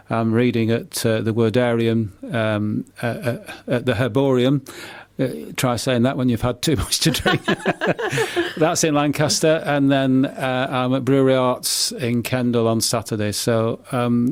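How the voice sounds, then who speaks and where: An older British man, a poet being interviewed, who speaks haltingly and often repeats the starts of his words. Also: medium pitch. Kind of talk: casual radio interview.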